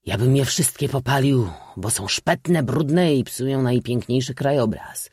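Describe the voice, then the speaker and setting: A man's voice: medium pitch, measured pace, a little mellow, slightly rough, no echo, clean studio sound, voice pushed up. A man voicing an arrogant, contemptuous baron in an audiobook story. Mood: scornful.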